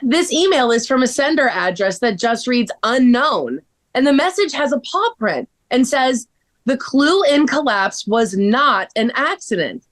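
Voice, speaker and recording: Woman acting an excitable high-voiced girl sleuth. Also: full character voice. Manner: expressive, exclamatory. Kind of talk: table-read acting of a children's mystery.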